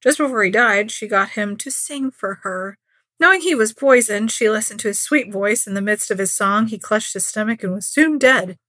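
Woman, medium pitch, casual storyteller, podcast co-host, acts out quotes, dry recording. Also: normal speed, fairly bright tone, dry recording. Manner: informal, conversational storytelling with acted-out quotes. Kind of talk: casual chat retelling a true crime story.

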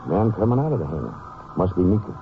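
A thin, phone-like vintage radio recording with faint hiss carries a small-town American sheriff. He is folksy and puzzled, his fairly low voice rising and dropping freely as he talks. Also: sing-song, fast. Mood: watchful. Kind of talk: 1950s radio western acting. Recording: tinny, hissy 1950s radio recording.

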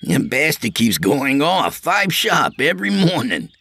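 A man's voice: on the high side, very animated, a little slow, pushed and bright, breathy edge, dry recording, pitched above the performer's own voice, exaggerated voice. A man voicing a nervous, eager-to-please male follower with a raised, placating voice. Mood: jittery.

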